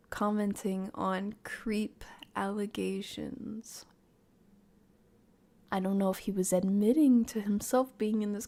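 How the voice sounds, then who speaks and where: A woman hosting a livestream, giving casual, reflective commentary and talking with her chat in a conversational, measured way. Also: medium pitch, gravelly, warm tone. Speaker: streamer, host.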